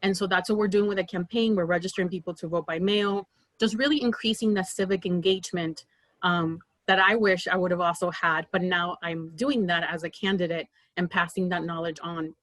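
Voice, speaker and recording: A woman in her thirties, from Peru, making an earnest campaign pitch on a video call with many fillers and restarts. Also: fairly even intonation, a little fast, a bit bright, dry recording. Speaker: political candidate.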